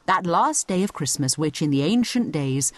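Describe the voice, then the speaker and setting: A woman's voice: sits low, expressive, normal speed, dry recording. A woman narrating a scripted educational audio guide, explaining things conversationally as she addresses the listener directly.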